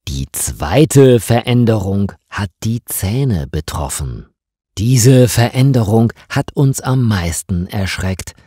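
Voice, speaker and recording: Audio story role: transformed Mars settler woman, played by a male narrator, eerie, matter-of-fact, slow, mellow delivery. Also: fairly low pitch, slightly rough, dry recording, studio quality, exaggerated voice. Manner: measured, matter-of-fact explaining.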